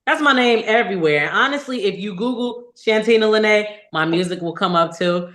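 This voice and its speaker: A woman's voice: mid-range voice, brisk, fairly bright tone. A young adult woman, a singer and podcast guest, talking candidly and animatedly about her life in a casual interview. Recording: echoey room.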